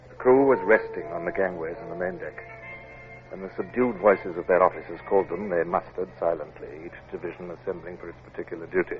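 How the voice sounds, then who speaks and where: An actor playing a grave naval captain who narrates his own adventure in a subdued, measured way for a radio drama. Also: low-pitched, close to an everyday voice. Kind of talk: first-person scripted narration in old radio drama. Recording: tinny, noisy vintage radio recording.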